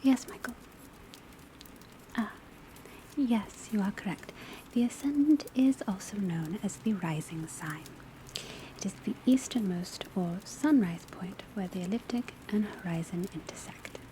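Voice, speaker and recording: A woman playing a patient, scholarly witch school professor in a soft, breathy ASMR whisper, lecturing in a measured, precise way. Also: medium pitch, close to an everyday voice. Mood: attentive, explanatory. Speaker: teacher in a classroom roleplay. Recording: soft steady background ambience noise. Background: crackling fireplace, pencil writing, rain on rooftop.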